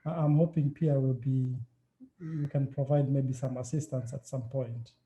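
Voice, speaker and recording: A man's voice: mid-range voice, steady tone, normal speed, warm tone, muffled recording. A man asking a question in an online meeting, hesitant with lots of restarts, in an echoey room. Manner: hesitant, many fillers and restarts. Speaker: meeting participant.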